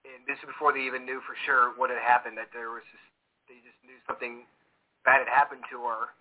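A man's voice: mid-range voice, little pitch movement, fast. A man co-hosting a casual talk show, talking in a loose, rambling way. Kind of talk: casual podcast chat. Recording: tinny phone-like audio.

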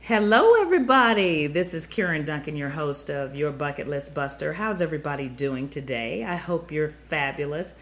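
A woman's voice: low voice, animated, moderate pace, hardly any pauses. A middle-aged woman hosting a travel show, stuffy-nosed, chatting upbeat and rambling straight to her listeners. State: stuffy nose. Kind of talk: chatty podcast monologue.

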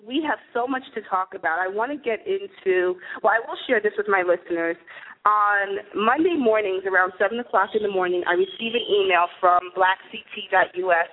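A woman hosting a live talk radio show speaks in a chatty, earnest way. Her voice comes through narrow and phone-like, and she sits at a mid pitch. She moves from topic to topic and introduces guests. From New Jersey, USA. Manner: chatty, earnest, warm asides.

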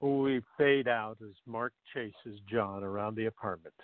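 A man's voice: on the low side, unhurried, no room sound. A man reading scripted narration for a radio play, on muffled, narrow-band audio.

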